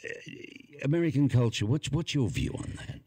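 A man's voice: medium pitch, big pitch swings, brisk, dark tone, slightly rough, dry recording, clean studio sound. A man hosting a conversational interview, asking questions and musing aloud. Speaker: host.

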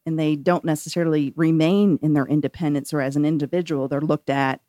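Female radio host, lower voice, conversational, dry booth sound. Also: steady tone, fairly quick, warm tone, dry recording. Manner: friendly and conversational, with fillers. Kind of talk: hosting a radio interview. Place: radio studio.

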